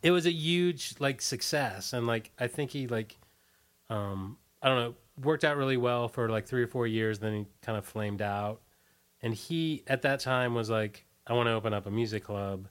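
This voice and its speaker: Guy guest chatting about old days, mid pitch, halting, trails off a lot. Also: fairly bright tone. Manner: halting, trailing off, loose storytelling. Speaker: interview guest, musician. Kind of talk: casual reminiscing chat.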